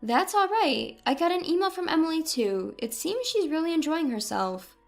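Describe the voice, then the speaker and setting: A woman acts out a proud, doting grandmother in her seventies for an audio story. The voice is high and animated, with an earnest, direct way of talking and music behind it. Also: moderate pace, creaky, heavily acted. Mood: warm, curious. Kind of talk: acted dialogue in an audio story.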